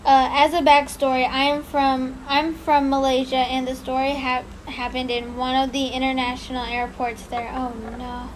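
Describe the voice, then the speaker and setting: A woman with a high voice reads a story aloud in a loose, casual way, often stumbling, restarting and apologizing. A steady hiss of noise sits under the recording. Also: rough recording. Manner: halting, apologetic, laughs at her own slips. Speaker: podcast co-host reading a story.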